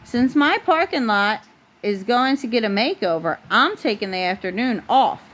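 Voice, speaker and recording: A woman's voice: a little high, expressive, normal speed, fairly bright tone. A woman voicing a gracious, cheerful female car who runs a cafe in a children's storybook. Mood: pleased.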